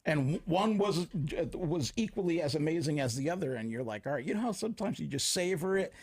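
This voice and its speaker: A man hosting a radio show, chatting casually in the studio and often pausing to search for words. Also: fairly high pitch, animated, a little mellow, husky. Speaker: radio host. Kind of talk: casual on-air chat.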